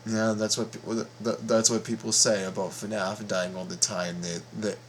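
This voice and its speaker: A man's voice: lowish voice, level tone, moderate pace. A man giving casual game reaction commentary as host, stammering and repeating phrases in slangy, profane talk. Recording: noticeable background noise.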